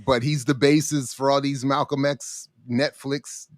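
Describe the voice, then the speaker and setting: A man's voice: medium pitch, little pitch movement, measured pace, no echo, studio quality. A man hosting a casual political chat, talking emphatically and repeating words. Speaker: host.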